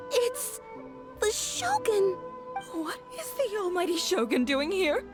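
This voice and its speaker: An awestruck townswoman in a video game. Her startled voice is very high and animated, drawn out slowly, with music clearly behind it. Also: exaggerated voice. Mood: shocked. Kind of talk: scripted video game voice acting.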